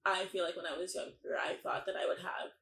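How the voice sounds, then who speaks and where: A young woman in her twenties hosting a podcast, her voice gravelly with vocal fry. She chats in a loose, rambling way with many fillers and laughs. The room sounds roomy and a little echoey. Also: lowish voice, fairly even intonation, fast talker, a bit bright. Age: 20s. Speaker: podcast host. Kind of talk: casual interview chat.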